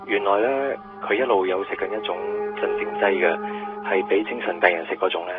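A man's voice: deep voice, brisk. An actor playing a young male detective reporting deferentially to his superior through a telephone filter in a radio drama. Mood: dutiful, hesitant. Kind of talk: Cantonese radio drama acting. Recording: thin phone-line sound, music behind.

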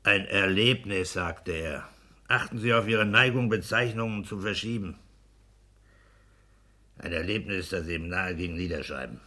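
An actor playing a smug, pedantic clerk and husband in a 1960s German radio play, blunt and domineering. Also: male voice, unhurried, long pauses, pushed and bright, exaggerated voice. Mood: pedantic, precise. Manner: exacting, domineering, booming bursts of mockery.

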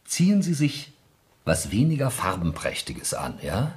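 Police sergeant, familiar, teasing, patronising, lively swooping intonation, radio crime drama. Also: male voice, medium pitch, a little slow. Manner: familiar, mocking advice. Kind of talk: 1970s German radio crime drama acting.

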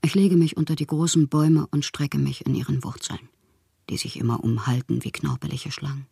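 An actress playing a proud, lovelorn woman poet in a literary radio drama monologue, theatrical and intimate with a husky, breathy voice. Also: low voice, fairly even intonation, fairly quick, dark tone, dry recording, studio quality, exaggerated voice. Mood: desolate, proud. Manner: theatrical, poetic, intimate, at times whispering. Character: wounded, proud, lovelorn woman poet styling herself a prince.

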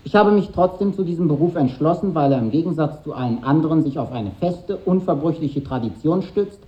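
A man's voice: on the high side, expressive, brisk, dark tone, close to an everyday voice. Upper-class schoolboy, male actor, solemnly proud, reading aloud, vintage radio drama, dull recording. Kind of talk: 1950s German radio drama acting. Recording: muffled old radio recording.

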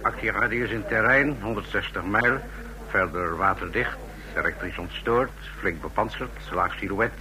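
An actor in an old radio play, playing an assured army general who talks in a dry, matter-of-fact way. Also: male voice, lowish voice, moderate pace, a crowd in the background, natural voice, poor audio. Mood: dry, confident. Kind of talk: mid-century radio play acting. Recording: old radio drama recording.

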